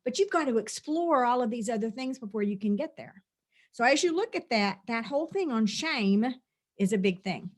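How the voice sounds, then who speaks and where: A woman's voice: on the high side, expressive, moderate pace. Earnest woman leading a spiritual session. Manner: earnest, repetitive, lists and repeats phrases. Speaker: spiritual teacher. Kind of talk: spoken spiritual release session.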